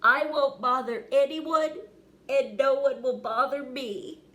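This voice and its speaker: A woman's voice: high voice, slow pace, fairly bright tone, pitched above the performer's own voice. A woman voicing an earnest little boy dragon in a storybook, childlike in manner. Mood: downcast.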